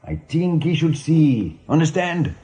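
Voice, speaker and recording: An actor in a radio play voicing an excitable, boastful male seagull who speaks halting, broken English. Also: on the high side. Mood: sly, pleased.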